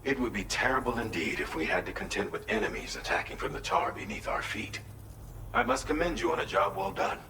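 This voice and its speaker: A man's voice: lowish voice, wildly swooping pitch, a little fast, reverberant, noisy background. An actor playing a formal, courteous, precise man in a video game cutscene.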